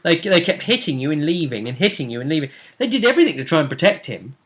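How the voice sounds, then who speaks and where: A man's voice: on the high side, big pitch swings, quick pace. A man talking casually as a game master, explaining events and prompting his partner with rhetorical questions. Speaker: game master recapping a tabletop role-playing session. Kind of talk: casual recap discussion.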